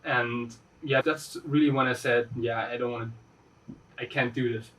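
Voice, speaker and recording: A man's voice: mid-range voice, normal speed, a little hiss or hum. Young man, slightly distant. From Austria. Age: 20s. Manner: relaxed, rambling, lots of fillers and restarts. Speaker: guest. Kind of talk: casual interview chat.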